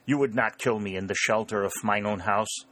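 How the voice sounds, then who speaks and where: A man's voice: lowish voice, brisk, a bit bright, lightly acted. Man doing a middle-aged farmer, broken English, sly and stubborn, mock-mournful, storybook character. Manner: broken English with foreign phrasing. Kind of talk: audiobook character voice.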